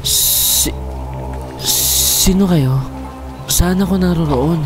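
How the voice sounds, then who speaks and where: A man's voice: high voice, very slow. A man playing an earnest, grateful young shipwreck survivor in a horror audio drama. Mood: dazed. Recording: loud music bed behind voice.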